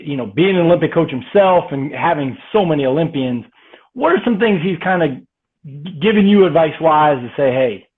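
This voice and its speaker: Man, interview host, coach, easygoing, affirming, husky voice, tinny phone-like audio. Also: on the high side. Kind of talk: casual interview chat.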